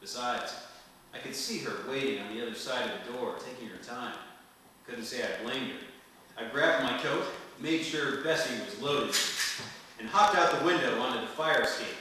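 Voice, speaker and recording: A man's voice: mid-range voice, moderate pace, pushed and bright, close to an everyday voice. Man playing a wry noir detective in an echoey hall. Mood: wry narration. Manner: wry, flirtatious, world-weary patter. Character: wry, smooth-talking hardboiled male noir private detective. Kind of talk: 1940s detective radio serial acting, noir narration. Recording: roomy distant hall recording, faint noise.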